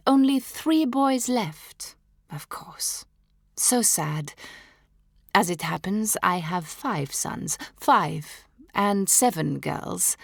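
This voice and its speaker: A woman's voice: low voice, vocal fry, no echo, clean studio sound, exaggerated voice. A woman reading the part of a proud noblewoman mother who answers with sly, biting wit.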